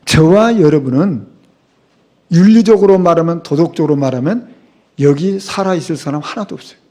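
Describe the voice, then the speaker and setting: A man's voice: fairly high pitch, moderate pace, dark tone. A middle-aged man preaching a sermon as a pastor, speaking emphatically and asking the congregation rhetorical questions. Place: church sanctuary.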